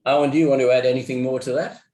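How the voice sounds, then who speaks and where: A man's voice: mid-range voice, steady tone, very fast, warm tone. A man hosting a webinar Q&A, talking in a friendly, slightly rambling way. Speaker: webinar host. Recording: roomy echoey webinar audio.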